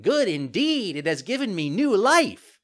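A stubborn little marionette boy from a storybook. The voice is pitched far up, loud and whining. It protests petulantly and swings widely in pitch. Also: big pitch swings, fast talker. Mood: elated.

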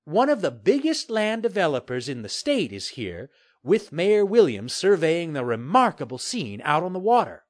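A man's voice: fairly high pitch, huge pitch swings, normal speed, voice pushed up. A man voicing a cheerful, sly female TV reporter in an audiobook story, with a pointed manner. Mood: reporting.

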